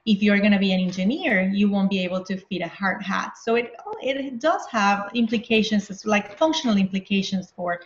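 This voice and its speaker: A woman pediatrician from Venezuela, in her forties, calmly explaining baby care in an interview. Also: medium pitch, moderate pace, hardly any pauses. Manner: calm, explanatory, plain speaking. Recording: roomy, slightly dull sound.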